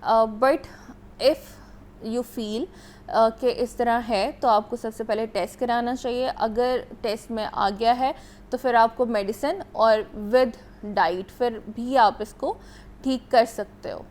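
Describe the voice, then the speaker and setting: A woman's voice: a little high, steady tone, slow pace, a little mellow. A woman doctor with a Pakistani Urdu accent, explaining health matters on a studio talk show and mixing English into her speech with many fillers.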